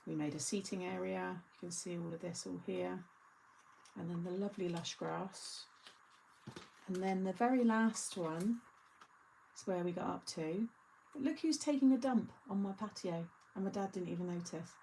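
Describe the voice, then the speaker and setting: A woman's voice: fairly low pitch, normal speed, gravelly, mellow, slight background noise, rough recording. A middle-aged woman hosting a craft channel, chatting casually and rambling through asides with frequent laughter. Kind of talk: casual live chat.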